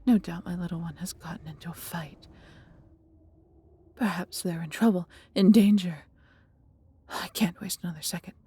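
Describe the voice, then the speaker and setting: A female dragon , acted by a woman speaks in a fantasy audio roleplay, fiercely protective and full of affection. The voice is heavily breathy and husky, on the low side, with a dark, soft tone. The delivery is theatrical yet intimate, in formal storybook phrasing. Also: normal speed, long pauses. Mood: worried, urgent.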